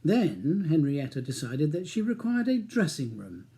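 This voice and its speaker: A man's voice: on the high side, huge pitch swings, measured pace, dark tone. A man reading his own crime novel as a scripted audiobook narration.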